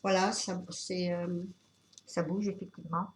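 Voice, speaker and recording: A woman with a cold giving an unscripted tarot reading, talking in a halting way with many fillers and restarts. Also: lowish voice, steady tone, slight background noise. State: has a cold. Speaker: tarot reader.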